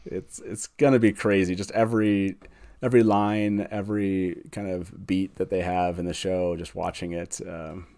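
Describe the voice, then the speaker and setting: A man's voice: sits low, little pitch movement, moderate pace, warm tone. A man with an informal, enthusiastic manner hosting a casual fan livestream chat over a video call. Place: live online video call.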